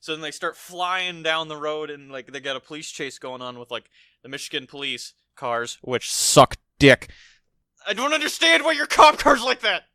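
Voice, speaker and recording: A man's voice: fairly high pitch, sing-song, normal speed, pushed and bright, no room sound, studio quality. An American man co-hosting a podcast, chatting casually about a film in a rambling, profane storytelling way. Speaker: podcast co-host. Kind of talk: casual film review chat.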